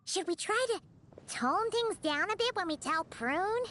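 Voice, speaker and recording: A woman voicing a tiny, excitable, childish floating fairy companion in a video game, given to exclaiming. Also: very high-pitched, a little slow, slight background noise, full character voice. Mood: cautious. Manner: speaks of herself in third person, exclaims. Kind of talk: video game character acting.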